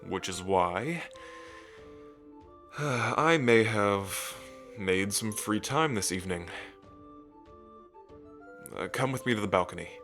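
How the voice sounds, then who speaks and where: Low-voiced, doting dragon king, played by a man. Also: expressive, slow, long pauses, over music. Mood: playful, eager. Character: young romantic dragon king, doting and playfully proud. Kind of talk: intimate ASMR roleplay addressed to the listener.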